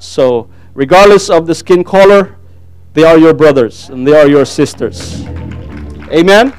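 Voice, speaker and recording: A man's voice: fairly high pitch, darkish tone, clear, resonant tone, dry recording. A middle-aged Filipino man whose first language is Tagalog, preaching a sermon and sharing his testimony, with many amens and praise Gods. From Philippines. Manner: frequent amens and praise God, earnest. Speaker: pastor.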